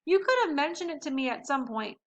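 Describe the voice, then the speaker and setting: A woman voices an earnest teenage boy in a storybook. The voice is high and bright, with a direct, emphatic way of speaking that is caring yet easily annoyed. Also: animated, brisk.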